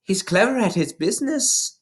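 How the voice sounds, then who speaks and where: A reader voicing a small, clever schoolgirl from a storybook, polite and hesitant yet quietly bold. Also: medium pitch, expressive, moderate pace, pitched above the performer's own voice, natural voice. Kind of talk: storybook character voice in a read-aloud.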